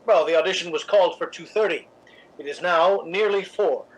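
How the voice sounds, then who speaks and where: A man's voice: high voice, moderate pace, low-quality recording. A man playing a suave, supercilious, waspish theatre critic in 1950s radio drama style, arch and precise. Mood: smug, needling.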